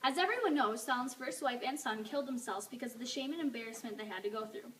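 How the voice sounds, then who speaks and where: A woman's voice: a little high, lively intonation, fast talker, fairly bright tone. A young woman reading a scripted amateur newscast as the anchor. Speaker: newscast anchor in a history project. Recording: distant mic with faint noise.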